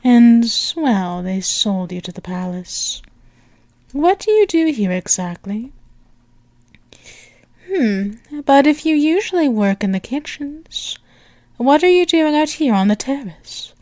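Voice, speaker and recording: Slow, seductive demon princess, acted by a woman. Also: on the high side, very animated, warm tone, no room sound. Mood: intrigued. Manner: slow, teasing, regal, with lingering pauses and breathy murmurs. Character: imperious, seductive demon princess, amused and tender toward servant. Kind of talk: ASMR roleplay, intimate one-sided dialogue.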